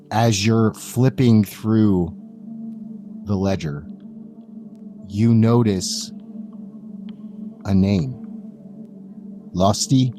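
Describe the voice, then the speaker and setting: A man improvising narration as a tabletop game master in a halting, deliberate, measured manner. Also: fairly low pitch.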